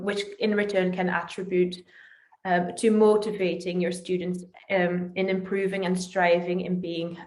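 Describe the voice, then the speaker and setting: A woman's voice: medium pitch, a little mellow. A woman from the Netherlands, a native Dutch speaker with a Dutch accent, giving a prepared explanation in an online presentation as a company manager. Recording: roomy, echoing voice.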